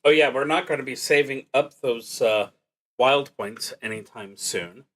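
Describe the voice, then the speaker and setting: A man narrating his solo tabletop roleplaying game, thinking aloud in a halting, musing way and trailing off mid-thought. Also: mid-range voice, fairly bright tone.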